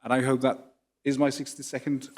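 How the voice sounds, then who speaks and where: A man from Afghanistan speaks as a candidate into a handheld mic in front of a theatre audience. His voice is mid-pitched, and his manner is earnest and personal, lightened by some self-deprecating humour. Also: monotone, brisk. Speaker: parliamentary candidate. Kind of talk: candidate's opening statement. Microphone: handheld microphone.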